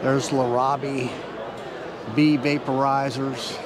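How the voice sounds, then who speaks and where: A man hosting a walking tour of a convention hall, narrating in a relaxed, plain-spoken way while filming. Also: medium pitch, slow, a crowd in the background. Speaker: channel host. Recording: noisy trade show hall.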